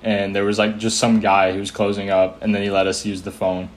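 A man's voice: fairly low pitch, completely flat delivery, brisk. A young American man casually recalling events in loose, hesitant phrases. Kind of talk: casual recollection.

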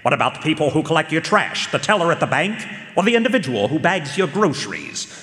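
Theatrical private eye, echoey hall. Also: male voice, on the high side, sing-song, fast talker, a bit bright. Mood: shrewd, leading. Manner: rhetorical, probing, theatrical. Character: male private eye, shrewd, showman-like questioner. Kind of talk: live radio drama acting.

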